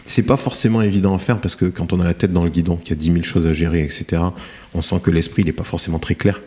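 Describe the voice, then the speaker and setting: A man's voice: deep voice, fairly even intonation, quick pace, warm tone. A middle-aged French man talking about his career in a podcast interview, in a hesitant way with many fillers and restarts. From France. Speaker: guest, cement plant director. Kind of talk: podcast interview, reflective storytelling.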